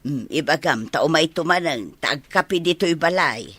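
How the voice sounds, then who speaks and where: Animated, nosy village mother. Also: sits low, moderate pace, husky, dry recording, full character voice. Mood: probing. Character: middle-aged village mother, nosy, probing, bossy. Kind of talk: radio comedy drama acting.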